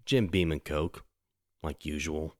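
A man's voice: very deep voice, slow pace, darkish tone, dry recording, studio quality. A man hosting a casual podcast, crude and joking, swearing freely. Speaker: podcast host.

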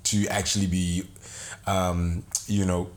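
A man's voice: sits low, slow. A young man from Zimbabwe, a podcast guest telling stories in a casual, laughing way. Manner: animated, laughing storyteller. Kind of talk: casual chat.

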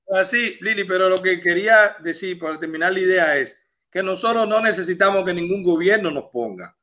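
A Cuban-born man with a Dominican accent, hosting the talk and piling up lists of examples in an animated way. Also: high-pitched, a little fast. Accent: Dominican Spanish. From Cuba. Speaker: host. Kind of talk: live talk show interview.

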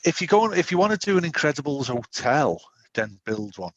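Man, forties, rambling casual podcast talk, husky, dry close sound. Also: fairly high pitch, brisk, no echo, muffled recording. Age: 40s. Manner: rambling, animated, self-interrupting. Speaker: podcast co-host. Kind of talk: casual chat.

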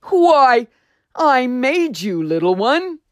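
A gentle, loving creator speaks on the wind in a calm, reassuring storybook voice, as a woman puts it on. The delivery is slow and tender with a lively rise and fall. The recording is dry and close. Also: sits high, no room sound, voice pushed up, heavily acted. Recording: dry close studio sound.

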